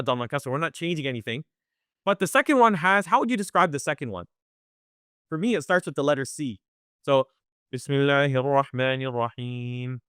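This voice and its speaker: A man teaching Quran recitation in a webinar, talking conversationally, often checking in with "right?" and sounding out recitation slowly. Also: on the high side, fairly bright tone, smooth, no echo, studio quality. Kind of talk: live webinar lesson.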